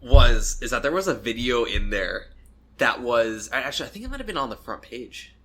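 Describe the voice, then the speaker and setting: Bright-voiced young man telling stories. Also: mid-range voice, sing-song, brisk. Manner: storytelling, laughs often, acts out quotes. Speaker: podcast co-host. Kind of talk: casual chat.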